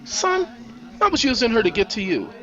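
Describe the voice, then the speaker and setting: A man's voice: very high voice, sing-song, moderate pace, fairly bright tone, highs cut off, voice pushed up, full character voice. A man playing a stern federal agent who speaks firmly, with cool threats and taunts. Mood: taunting. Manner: firm, coolly threatening.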